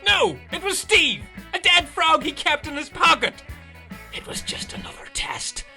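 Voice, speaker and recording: A man voicing an excitable, eccentric schemer who exclaims melodramatically in a comedy radio play. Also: very high voice, measured pace, creaky, faint music behind, full character voice. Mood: sly.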